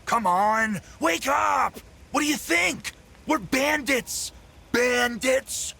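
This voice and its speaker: A man playing a loud, taunting bandit in a video game cutscene, mocking and theatrical, repeating himself as he drawls. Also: very high voice, slow pace, creaky, husky, exaggerated voice. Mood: angry, loud. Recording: loud music under the voice.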